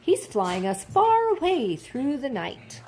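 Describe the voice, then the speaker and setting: Woman as a gentle storybook mother fox, lilting. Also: high voice, wildly swooping pitch, slow, voice pushed up, exaggerated voice. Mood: soothing.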